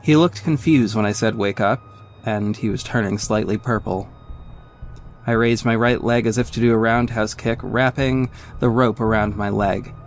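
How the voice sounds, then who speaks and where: A man's voice: lowish voice, steady tone, moderate pace, faint music behind, natural voice. A man playing a confident young vigilante who narrates his own exploits in a wry, smug way in an audio drama. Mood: focused, descriptive. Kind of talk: scripted first-person audio drama narration.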